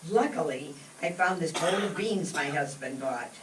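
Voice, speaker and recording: Woman playing a lively, fussing radio-comedy housewife. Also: low voice, normal speed. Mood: hospitable. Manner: expressive, homely. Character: fussing, hospitable housewife and mother. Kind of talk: old-time radio comedy acting, performed live. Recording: roomy, distant stage pickup with faint noise.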